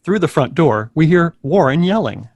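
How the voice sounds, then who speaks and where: A man's voice: on the high side, animated, moderate pace, darkish tone, no echo, muffled recording. A man reading stage directions as the narrator of a live sitcom table read.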